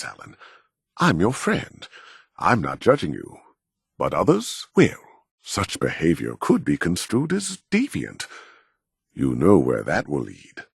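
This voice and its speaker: Deep, husky, arrogant male scientist. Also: no room sound, studio quality, full character voice. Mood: concerned, admonishing. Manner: measured, formal, self-important, condescending. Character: proud, arrogant middle-aged male robotics scientist. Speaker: video game character voice actor. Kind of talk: scripted video game dialogue.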